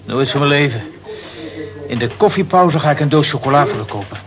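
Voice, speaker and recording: An actor playing a kindly, polite male office worker in a radio play, with a measured manner. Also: on the high side, animated, close to an everyday voice. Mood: good-natured. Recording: dull old radio play recording.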